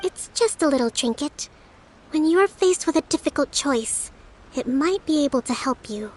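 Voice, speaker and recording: A woman playing a gentle young priestess who leads her people in a fantasy game, speaking in a measured, thoughtful, polite way. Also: high-pitched, animated, poor audio. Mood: gentle, resolved. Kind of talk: scripted fantasy game acting.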